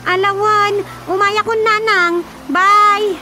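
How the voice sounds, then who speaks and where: A woman's voice: very high voice, steady tone, takes it slowly, over loud music. A woman playing the grown daughter of an elderly couple in a radio drama, with exclamatory delivery. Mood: surprised, concerned. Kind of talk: comic radio drama acting in Ilocano.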